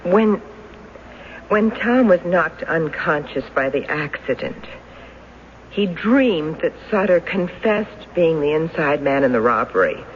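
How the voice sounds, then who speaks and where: An actress in a radio drama playing a plain-spoken, protective and somewhat impatient wife. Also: female voice, sits low, lively intonation, slow. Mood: insistent, impatient. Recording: dull hissy old radio recording.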